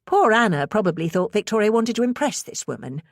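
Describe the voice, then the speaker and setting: A woman's voice: medium pitch, expressive, a little fast, dry recording, clean studio sound. A woman reading scripted suspense fiction as an audiobook narrator.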